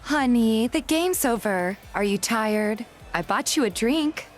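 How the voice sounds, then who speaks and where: A woman's voice: a little high, animated, slow, soft background music. A woman voicing a playful young woman who poses as a doting girlfriend in an audio drama. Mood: sweet, teasing. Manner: affectionate, teasing, play-acted sweetness.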